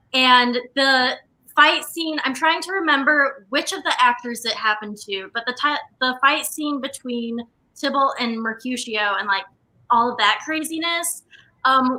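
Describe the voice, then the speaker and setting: A woman's voice: sits high, bright, forceful tone, poor audio. A woman guest chatting casually and telling stories in an informal way. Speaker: guest, stage actor from a theater company. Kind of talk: casual anecdotal chat.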